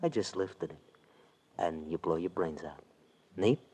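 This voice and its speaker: A sly, threatening thug from an old American radio crime play. The voice sits low, and the talk is rough, slangy and ungrammatical. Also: male voice, measured pace, mellow. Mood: gloating menace. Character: menacing male gangster thug, slangy and sly. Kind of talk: 1940s radio acting. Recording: vintage radio drama recording.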